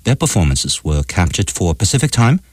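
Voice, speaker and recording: A male reporter narrating a scripted radio news feature in a measured, even way. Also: low voice, no echo.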